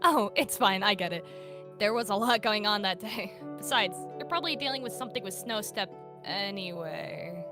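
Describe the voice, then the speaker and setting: Earnest, worried young male cat apprentice played by a woman, hesitant and pleading, animated story roleplay, music clearly behind. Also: mid-range voice, moderate pace, lightly acted. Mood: casual.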